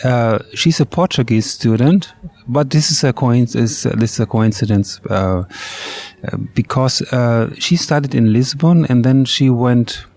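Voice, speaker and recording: A German man being interviewed in English, not his first language, searching for words with plenty of ums and uhs. His German accent is clear, and his voice sits at an ordinary middle pitch. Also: unhurried, darkish tone. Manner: hesitant, many ums and uhs, slightly imperfect English. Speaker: orchestra bassist, guest. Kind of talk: interview answers. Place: outdoor courtyard.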